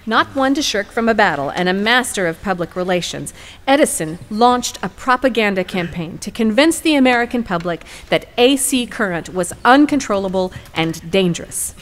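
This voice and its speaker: A woman's voice: medium pitch, lively intonation, normal speed, close to an everyday voice. A woman playing an assertive lawyer in a courtroom audio drama, arguing formally and rhetorically. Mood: wry, narrating.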